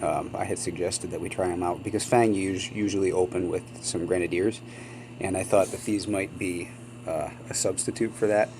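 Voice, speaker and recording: A man with a very low voice talks through his gameplay in a loose, rambling way, full of ums and little apologies. He sounds sniffly from a cold. A steady hum sits under the home recording. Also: moderate pace. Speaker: gaming channel host. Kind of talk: casual gameplay commentary.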